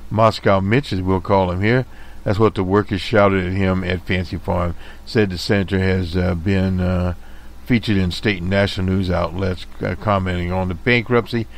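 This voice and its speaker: A man's voice: sits low, fairly even intonation, normal speed. A man hosting a radio show, reading news reports aloud with many "uh" fillers, self-corrections and his own opinionated asides. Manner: editorializing asides.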